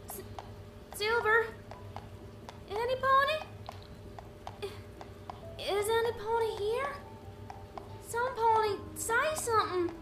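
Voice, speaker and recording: A woman voicing an anxious, devoted young country mare in a dramatic animated comic, earnest, hesitant and pleading. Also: extremely high pitch. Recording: echoey, distant, music over voice.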